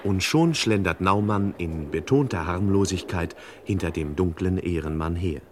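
A man's voice: deep voice, moderate pace, background noise. A man narrating a scripted comic crime radio play in an ironic, mock-pompous, ornate way.

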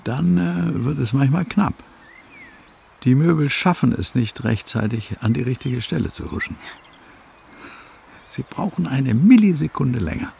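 A brooding, bereaved brother in a radio play, with a creaky, gravelly voice. He muses haltingly and dryly, wryly unsettled, over faint background noise. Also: medium pitch, lively intonation, a little mellow. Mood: wry, musing. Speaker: actor in a radio drama. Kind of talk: naturalistic radio play acting.

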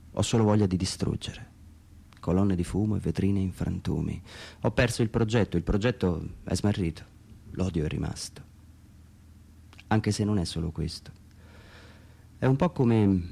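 A man reads his own literary monologue for radio theatre in a measured, reflective way, pausing between short phrases. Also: low-pitched, gravelly, warm tone, breathy edge. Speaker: author reading own text.

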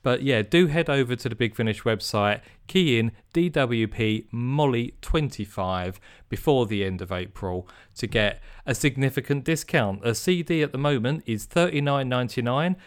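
A man's voice: medium pitch, expressive, moderate pace, smooth. A man co-hosting a podcast in upbeat, chatty, playful talk. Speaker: podcast co-host. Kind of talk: casual podcast chat. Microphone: remote call mic.

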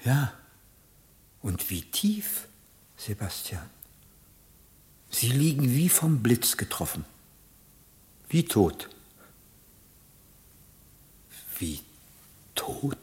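A scheming courtier in a radio play. His pitch is middling but very mobile, and he speaks slowly. The manner is theatrical, mocking and full of sly suggestion. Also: sing-song, darkish tone. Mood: sly, conspiratorial. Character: scheming, mocking courtier, insinuating male tempter. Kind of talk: German radio play acting.

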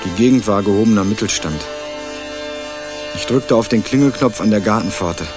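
An actor playing an earnest young male student investigator in a radio crime drama, narrating and questioning in a measured, dry way. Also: fairly low pitch, over music, natural voice. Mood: measured narration. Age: young adult. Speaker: narrator and lead character.

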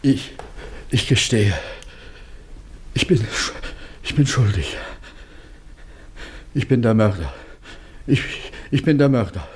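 A man's voice: fairly low pitch, expressive, slow pace, pauses often, a little mellow, slightly rough, heavily acted. An actor in a German radio drama playing a tormented man making a confession, stammering and repeating himself in anguish. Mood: anguished, desperate.

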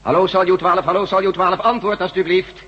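A man's voice: high voice, steady tone, lightly acted. A man playing an impatient, authoritative general at a radio set in a science-fiction radio drama. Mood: calling, insistent. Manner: authoritative, brisk, giving orders. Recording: muffled, noisy old radio drama recording.

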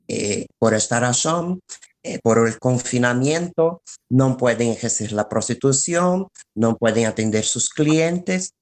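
A radio interview guest who speaks slowly at a middling pitch. The phrasing is halting, with words repeated while explaining. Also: lively intonation. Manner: hesitant, halting phrasing with repetitions. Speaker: interview guest, collective representative.

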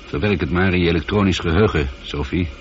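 A man's voice: low-pitched, fast talker, natural voice. An actor playing a lone male astronaut in a radio drama, wry and musing, slightly uneasy. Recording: dull, slightly noisy old radio recording.

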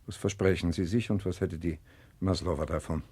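An actor playing a formal, skeptical public prosecutor in a 1960s German radio drama, measured and dryly bureaucratic. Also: male voice, deep voice, fairly even intonation, warm tone, husky. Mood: cool, skeptical.

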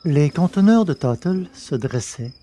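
A man reading a novel aloud in measured, deliberate narration. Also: a little high, animated, mellow. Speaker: audiobook reader. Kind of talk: scripted narration of a science-fiction novel.

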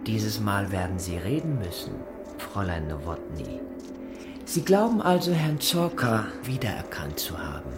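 An actor playing a menacing, coldly polite Gestapo colonel in a radio play, questioning in a clipped, officious manner with diction. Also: male voice, on the low side, sing-song, moderate pace. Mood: cold threat, then pressing, insinuating. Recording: radio play with music underneath.

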